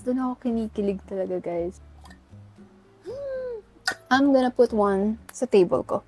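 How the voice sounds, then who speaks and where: A woman's voice: fairly high pitch, mellow. A young woman with a Filipino accent, chatting casually in mixed Taglish as she unboxes items, often saying "guys", seated on her bedroom floor with a clip-on mic. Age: young adult. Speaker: vlogger. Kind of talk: casual haul chat.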